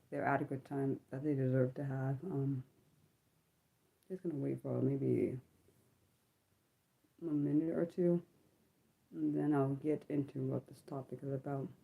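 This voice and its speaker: A woman in her thirties hosting a personal livestream from home. She speaks haltingly, with many ums and apologetic self-corrections, and her voice sounds slightly sick. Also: a bass voice, creaky, dark tone, slightly rough, rough recording. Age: 30s. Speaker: livestream host. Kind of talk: personal reflective livestream talk.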